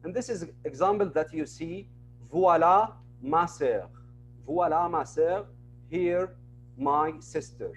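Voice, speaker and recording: Slow, patient male teacher. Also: fairly high pitch, lively intonation, soft background music. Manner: patient, repeats words and examples. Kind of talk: online class lesson. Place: online class.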